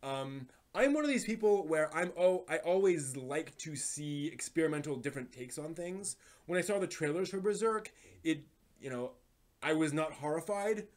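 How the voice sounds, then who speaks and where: A man's voice: on the high side, animated, moderate pace. Rambling man hosting a casual anime stream. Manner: rambling, thinking aloud, digressive. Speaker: live stream host. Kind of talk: casual live stream chat about anime.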